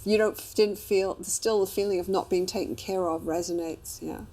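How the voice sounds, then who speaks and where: Woman, couples therapist in session, mid-pitched voice, conversational, probing and paraphrasing. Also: fairly even intonation, moderate pace, dark tone. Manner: probing, paraphrasing with short check-in questions.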